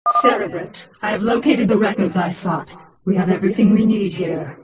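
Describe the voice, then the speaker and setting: A woman's voice: medium pitch, moderate pace, distant mic, a loud crowd. Game villainess: cold, defiant alien queen, echoing, with a din behind. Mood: cold, businesslike. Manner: imperious, cold, formal. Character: fierce, defiant alien-infested warrior queen. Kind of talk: video game voice acting.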